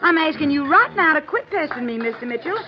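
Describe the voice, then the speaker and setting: High-voiced, drawling Southern belle. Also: female voice, lively intonation, fast talker, loud music behind the voice. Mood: indignant. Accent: American South. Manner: folksy, drawling, coy. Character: coy, testy young Southern belle. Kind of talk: late-1940s radio drama acting. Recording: dull, noisy old radio recording.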